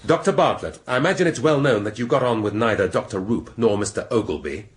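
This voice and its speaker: An actor playing a shrewd middle-aged English police inspector in a radio drama, speaking deliberately and rhetorically with dramatic pauses. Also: male voice, medium pitch, expressive, natural voice. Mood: commanding. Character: shrewd, theatrical male police inspector laying out a murder case.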